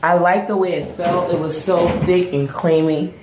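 A young woman with a cold and a hoarse voice, casually talking through her hair routine with many pauses, into a close-up phone camera in a small bathroom. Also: lowish voice. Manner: relaxed, hesitant, many ums while working on her hair. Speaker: hair vlogger. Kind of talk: casual hair tutorial talk. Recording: echoey bathroom with background noise.

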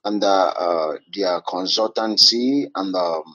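A man with a foreign accent talks haltingly, filling gaps with ums and uhs. His voice is low and husky, heard over a muffled, lo-fi online call. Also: bright, forceful tone. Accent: foreign English. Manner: hesitant, many fillers, halting. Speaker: caller.

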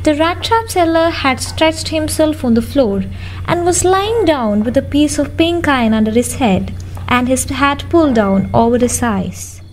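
A woman's voice: sits high, lively intonation, unhurried, a little hiss or hum. A young woman, a student narrator, reading scripted story narration for a radio drama. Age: young adult.

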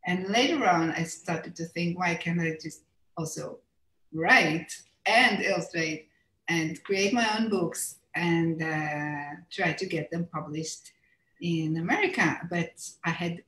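A woman's voice: on the low side, takes it slowly, fairly bright tone. A middle-aged woman from Israel with an Israeli accent, a native Hebrew speaker, answering interview questions thoughtfully with many fillers and restarts over a video call from a room at home. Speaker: author and illustrator, interview guest. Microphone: video call, computer mic. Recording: roomy video call audio.

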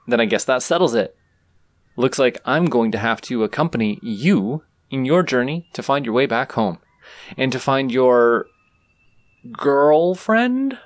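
A man's voice: mid-range voice, dry recording. A man playing an easygoing, teasing traveling swordsman in an audio roleplay, casual and reassuring with a cocky streak, talking straight to the listener.

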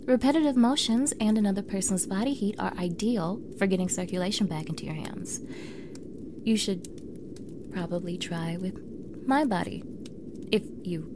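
A young woman acting a standoffish, awkward mean girl who is softening, in an intimate ASMR roleplay, halting and flustered, with soft breathy delivery. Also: mid-range voice, background noise, lightly acted. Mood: tender, shy. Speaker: audio roleplay voice actor.

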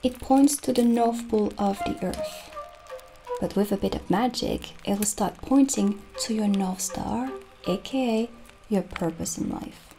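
A young woman playing a gentle, reassuring witch shopkeeper in a soft-spoken, soothing, unhurried ASMR roleplay. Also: medium pitch, close to an everyday voice, poor audio. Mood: helpful, calm. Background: soft music.

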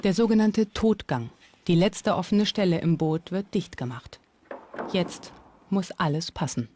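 A woman's voice: on the low side, lively intonation, a little slow, dark tone, a little hiss or hum. A woman reading scripted documentary narration. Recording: music under the voice. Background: background music.

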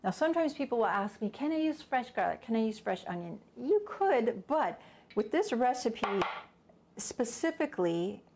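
A woman's voice: a little high, sing-song, normal speed, darkish tone. A middle-aged woman giving a casual cooking class in her home kitchen, chatting and thinking aloud as she works, recorded by a camera a few steps away. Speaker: cooking instructor.

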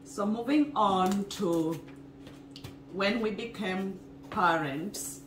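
A woman's voice: medium pitch, extremely slow. Kenyan-born woman telling her story. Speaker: co-host, wellness couple. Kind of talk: casual personal story to camera. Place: home kitchen, indoors, small room. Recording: echoey room, faint noise.

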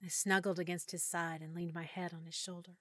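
A woman narrating a first-person audiobook in an intimate, hushed delivery that often drops near a whisper. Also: fairly low pitch, flat delivery, a little fast, no echo.

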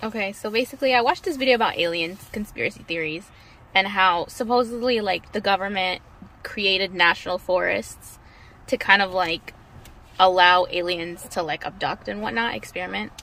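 A woman's voice: mid-range voice, normal speed, sharp tone, poor audio. A young woman in her twenties chatting in a car vlog, telling a story in a loose, rambling way with lots of fillers. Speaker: vlogger. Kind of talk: casual chat while riding in a car. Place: inside a convertible car outdoors. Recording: faint car and road noise.

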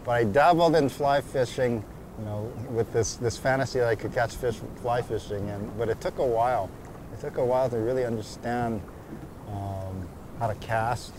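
A middle-aged man, a fly fisherman, talking in an unhurried, thoughtful way with restarts, on a lapel mic outdoors in shallow water. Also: medium pitch. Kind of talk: casual talk about fishing. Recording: outdoor background noise.

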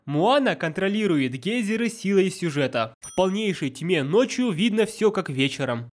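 A man's voice: sits high, big pitch swings, moderate pace, slight background noise. A man delivering scripted, sarcastic commentary as a mocking video narrator. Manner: sardonic, mocking. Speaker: narrator of a film-nitpicking video.

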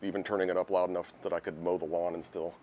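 An American man in his fifties talks casually in an interview. His voice is low and he moves along quickly, with faint noise in the room. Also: level tone, a little mellow. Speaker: writer, interviewee. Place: music shop, indoors.

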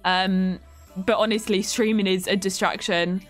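A young woman streaming a life-sim game from home, chatting warmly with her viewers while stuffed up with a cold. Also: medium pitch, fairly even intonation, a little slow, soft background music. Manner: chatty, affectionate toward chat, giggly. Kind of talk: live game stream chat.

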